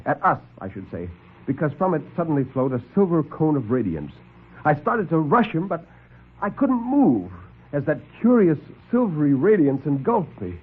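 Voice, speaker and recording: A man narrating a first-person horror tale as a classic radio storyteller, theatrical and suspenseful, with deliberate pauses. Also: medium pitch, slightly rough. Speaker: storyteller and actor. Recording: muffled old radio broadcast recording.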